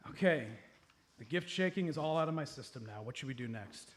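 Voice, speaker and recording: Boyish sidekick for radio comedy, male actor: high, animated, eager and impulsive, live stage sound. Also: brisk, close to an everyday voice. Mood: sheepish. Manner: eager, melodramatic. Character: boyish, impulsive sidekick with a gift-shaking compulsion. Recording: live stage, faint noise.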